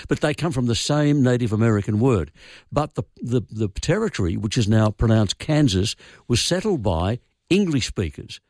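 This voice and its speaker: A man's voice: medium pitch, expressive, moderate pace, darkish tone, no echo. An older Australian man on a radio phone-in, explaining word origins in a wry, opinionated way. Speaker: language expert guest. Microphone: studio microphone. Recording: dry studio sound.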